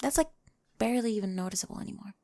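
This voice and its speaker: A quirky, apologetic girlfriend, played by a woman with a high voice. She talks casually and reassuringly, wry and fondly exasperated, in an intimate ASMR roleplay. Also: animated, racing, vocal fry, dark tone. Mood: nervous, reassuring.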